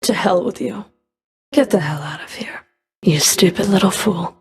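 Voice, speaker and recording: A woman playing a menacing, contemptuous fury of the underworld in a video game, with a husky, breathy voice and a deliberate, threatening delivery. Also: medium pitch, animated, lightly acted. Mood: scornful dismissal. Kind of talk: video game combat voice lines.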